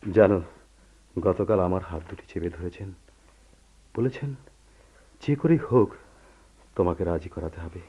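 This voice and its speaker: A man playing an authoritative yet caring senior office boss in a radio drama, with a measured, persuasive way of talking. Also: sits low, animated, husky. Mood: pleading. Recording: dull lo-fi radio drama recording.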